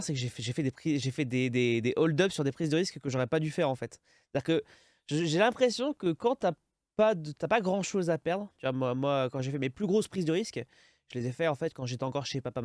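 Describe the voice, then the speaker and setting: A man's voice: a little high, a little mellow, no room sound, studio quality. A man in his thirties with a French accent, hosting a casual interview and rambling with many restarts. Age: 30s. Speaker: podcast host.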